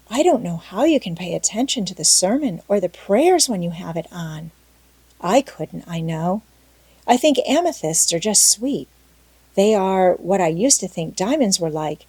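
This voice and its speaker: A woman's voice: mid-range voice, very animated, measured pace, dark tone, breathy edge, dry recording. A woman voicing a talkative, dreamy young orphan girl in an audiobook, gushing earnestly and dramatically. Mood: admiring, wistful. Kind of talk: audiobook character acting.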